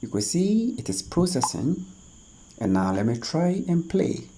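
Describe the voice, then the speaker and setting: Slow, mellow, husky tutorial host. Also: mid-range voice, very animated, a little hiss or hum. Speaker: host of a tech tutorial channel. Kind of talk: spoken step-by-step screen tutorial.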